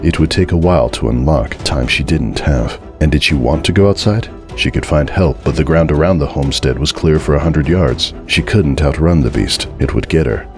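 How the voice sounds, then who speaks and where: Very deep-voiced man narrating horror. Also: normal speed, hardly any pauses, soft background music. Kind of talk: dramatic horror story narration.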